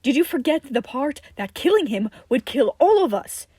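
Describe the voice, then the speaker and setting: A woman voicing an angry, sarcastic man in a story, forceful and scoffing. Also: high voice, sing-song, moderate pace, no room sound, clean studio sound, pitched above the performer's own voice. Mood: bitter anger. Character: angry, sarcastic, scornful man lashing out at colleagues.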